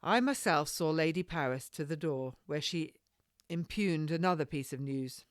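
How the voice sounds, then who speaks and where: A woman's voice: sits low, animated, moderate pace, dry recording, clean studio sound. A woman author reading her own historical novel aloud as informal, scripted storytelling. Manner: unpolished, with stumbles and self-corrections. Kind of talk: informal audiobook narration.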